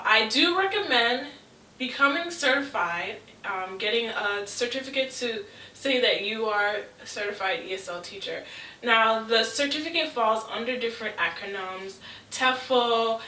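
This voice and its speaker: A young woman giving travel advice in a casual vlog, chatty and relaxed, with frequent ums. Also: a little high, echoey room, distant mic, a little hiss or hum, low-quality recording. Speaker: travel vlogger.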